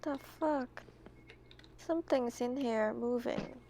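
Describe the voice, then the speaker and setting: A woman's voice: on the high side, slow pace, dark tone, slight background noise. A woman chatting casually as a player in a tabletop roleplaying game.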